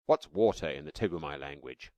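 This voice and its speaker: A man voicing a fond, excited cave-man father who explains patiently. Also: extremely low pitch, a little fast, dry recording.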